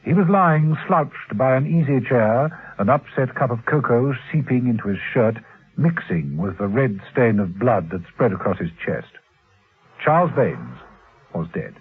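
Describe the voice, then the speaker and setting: A man's voice: medium pitch, sing-song, a little slow. A man narrating a classic radio spy drama in a theatrical, suspenseful and arch manner. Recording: muffled, husky-sounding old radio recording.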